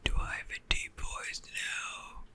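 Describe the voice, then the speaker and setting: A woman's voice: slow, bright, forceful tone. A woman rambling in a chatty, close-mic whisper, talking to a friend in an ASMR video. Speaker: ASMR creator.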